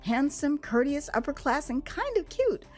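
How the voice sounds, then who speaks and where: American woman telling her own story like an audio drama, lively pitch, theatrical, bit of music behind her. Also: moderate pace. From Texas, United States. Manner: expressive, theatrical, savoring phrases. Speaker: author and narrator. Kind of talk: dramatized first-person memoir narration.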